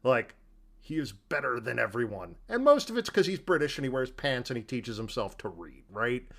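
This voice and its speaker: A man chats as a podcast co-host with a very lively, swooping delivery. He sounds emphatic and incredulous, leaning on key words in loose, colloquial speech. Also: mid-range voice, moderate pace. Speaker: podcast co-host discussing a film. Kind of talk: casual chat.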